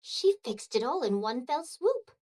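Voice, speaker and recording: A woman's voice: high-pitched, sing-song, unhurried, full character voice. A woman playing a proud, effusive young castle-dweller in a video game, with a grandiose, emphatic way of talking. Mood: curious, admiring. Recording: loud music over the voice.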